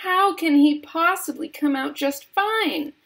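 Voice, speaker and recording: A woman's voice: sits high, expressive, slow, vocal fry, a bit bright, voice pushed up, full character voice. A woman voicing a hysterical, indignant mother who shrieks and scolds, in a storybook reading. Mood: outraged. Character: hysterical, indignant, screeching mother of a greedy boy.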